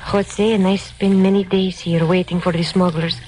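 An actress playing a proud, stubborn young Mexican widow in a 1950s radio drama, speaking formal, slightly halting English with a Mexican accent. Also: female voice, fairly low pitch, flat delivery, a little mellow, close to an everyday voice, low-quality recording. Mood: wistful, earnest. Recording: old 1950s radio recording.

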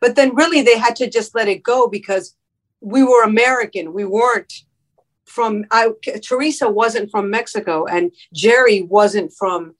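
A woman's voice: a little high, moderate pace, low-quality recording. A woman from Miami, a guest actress in an interview, explaining her points earnestly. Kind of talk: conversational interview.

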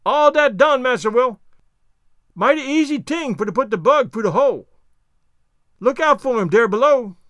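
A storybook servant, simple and obliging. His high voice rises and falls freely in folksy dialect, always literal-minded and eager to please. The sound is dry and close. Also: lively intonation, moderate pace, long pauses, fairly bright tone, dry recording, lo-fi sound. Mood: puzzled, eager. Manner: folksy nonstandard dialect speech.

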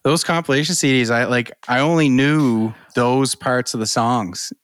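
A man's voice: medium pitch, normal speed, dry recording, studio quality. A middle-aged man co-hosting a podcast who laughs easily during casual chat. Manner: laughs easily, sings snippets. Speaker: podcast co-host.

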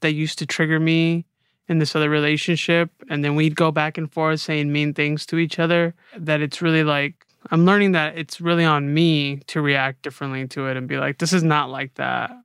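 A man co-hosting a podcast, chatting casually and reflectively about himself in a halting, colloquial way. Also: on the high side, level tone, fairly bright tone, clear, resonant tone, no echo, clean studio sound. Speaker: podcast co-host.

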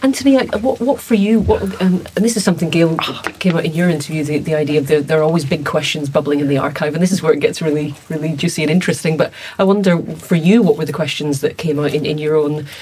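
Quick-talking woman hosting a panel. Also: deep voice, darkish tone, slight background noise, poor audio. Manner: eager, restarting questions. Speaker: podcast host, moderator. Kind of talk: moderating a panel discussion.

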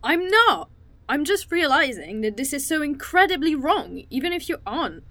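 A woman's voice: high voice, sing-song, moderate pace, pushed and bright, dry recording, exaggerated voice. An actress playing a caring but torn woman conspirator in a naturalistic audio drama. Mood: angry, defensive.

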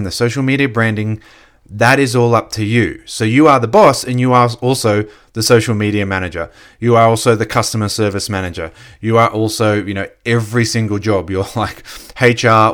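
Male coach, lowish voice, a bit croaky, relaxed filler-heavy motivational talk. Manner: casual, filler-heavy, lots of "you know". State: slightly croaky voice. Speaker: network marketing coach. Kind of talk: motivational solo podcast talk.

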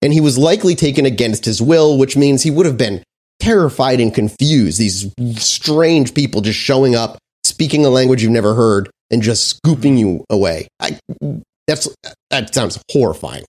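A man's voice: medium pitch, expressive, moderate pace, darkish tone. A man hosting a casual history podcast, reading from notes with frequent fillers and restarts. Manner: reads research aloud with frequent fillers and restarts. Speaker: podcast host.

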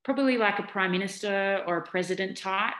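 A middle-aged Australian woman from Newcastle, a former basketball player, answering interview questions in a chatty, animated way with lots of ums and restarts. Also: medium pitch, fairly quick. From Newcastle, New South Wales, Australia. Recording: echoey room.

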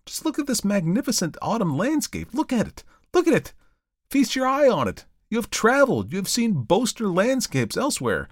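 A man's voice: on the high side, big pitch swings, normal speed, dry recording, clean studio sound, pitched above the performer's own voice. A man voicing a talkative, fretful middle-aged reverend who pleads and exclaims. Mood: exasperated.

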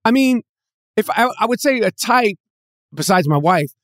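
A woman's voice: medium pitch, expressive, no room sound, clean studio sound. A woman from New York chatting casually as a guest on a podcast, often stammering and restarting her sentences. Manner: halting, many restarts and stammers. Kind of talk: casual chat.

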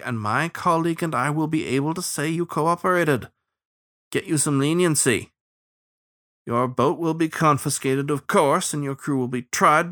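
Man voicing a pompous, high-pitched starling lawman. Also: fairly bright tone, lightly acted. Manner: formal, clipped, threatening. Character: hostile, officious starling lawman, pompous and exultant.

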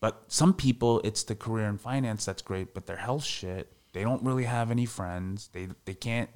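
A man's voice: lowish voice, moderate pace, darkish tone. A man in his thirties talking candidly in a casual podcast interview, reflective and full of fillers. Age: 30s. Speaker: podcast guest.

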